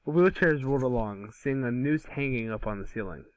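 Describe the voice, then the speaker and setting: A man's voice: mid-range voice, lively intonation, moderate pace, no room sound, rough recording. A man reading scripted scene narration informally at an amateur table read. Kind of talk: scripted narration of stage directions.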